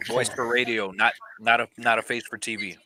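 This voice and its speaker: Bright-voiced man calling poker hands. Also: mid-range voice, flat delivery, a little fast, low-quality recording. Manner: easygoing play-by-play banter. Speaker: poker commentator. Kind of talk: live poker commentary.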